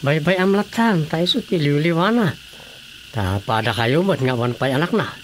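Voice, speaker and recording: Man acting an animated Ilocano brother-in-law. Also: a little high, normal speed, natural voice. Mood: advising. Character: easygoing, advising brother-in-law and drinking companion. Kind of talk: radio drama comedy acting. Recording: clearly noisy radio drama recording.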